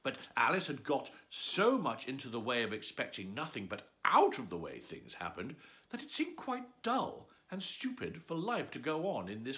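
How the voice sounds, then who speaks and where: A man's voice: mid-range voice, pushed and bright. A man reading a children's story aloud as a bedtime storyteller, with a theatrical, swooping delivery.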